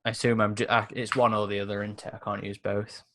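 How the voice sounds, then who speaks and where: A man's voice: fairly low pitch, flat delivery, fast, fairly bright tone. A man chatting casually and a bit swearily as a player in a tabletop game. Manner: mildly sweary.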